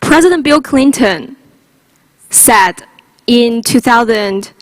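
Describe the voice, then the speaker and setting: A woman's voice: fairly high pitch, lively intonation, slow pace, dry recording. A woman from China, a native Chinese speaker with a Chinese accent, giving a prepared lightning talk as a conference speaker.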